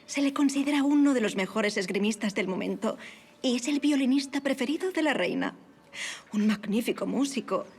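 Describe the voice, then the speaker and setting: A young lady of high society in a period audio drama. Her voice sits a little high. She is gracious and affectionate, with formal, witty, self-assured speech. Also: animated, brisk, a little mellow, husky.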